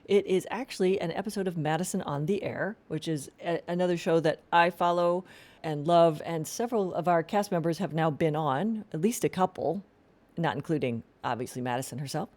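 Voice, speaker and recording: A woman's voice: on the low side, a little fast, a little mellow. A woman hosting a podcast in a casual, informal interview style with a chatty way of talking. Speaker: host.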